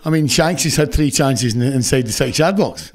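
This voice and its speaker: Blunt older Scottish football manager. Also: male voice, medium pitch, lively intonation, brisk, darkish tone. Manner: blunt, annoyed, frank. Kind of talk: post-match interview. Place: indoor press room before a sponsor backdrop. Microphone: stand mic close in front.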